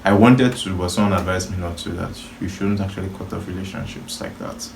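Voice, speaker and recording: A man's voice: deep voice, fairly even intonation, a little fast, vocal fry. A young man chatting casually with his sister, talking in a hesitant, thoughtful way and calling himself shy. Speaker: guest, the host's brother. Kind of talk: casual chat. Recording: roomy echo, faint background noise.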